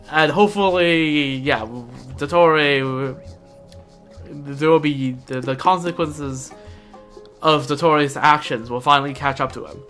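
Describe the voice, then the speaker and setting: A video game streamer talks casually to viewers in a mid-pitched voice. The delivery is informal fan commentary with gamer slang. Music plays clearly behind the voice. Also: fairly bright tone. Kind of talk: casual livestream chat mixed with reading game dialogue aloud.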